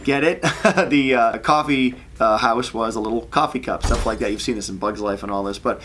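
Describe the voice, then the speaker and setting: A man's voice: mid-range voice, steady tone, moderate pace, fairly bright tone, a little hiss or hum. A man talking casually and enthusiastically, like an animation creator telling work stories on his vlog. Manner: enthusiastic, chatty. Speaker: animation creator and video host.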